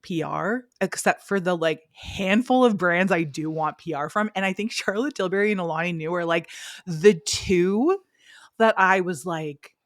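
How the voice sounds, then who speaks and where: A young woman co-hosts a casual podcast chat with her sister. Her voice sits a little low, and she rambles with constant fillers and restarts. The sound is dry and close, with no room echo. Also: moderate pace. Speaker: podcast co-host.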